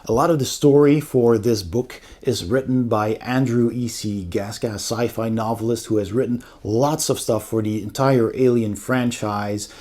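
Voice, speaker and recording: A man's voice: medium pitch, mellow. A man giving a casual, conversational walkthrough as a game reviewer.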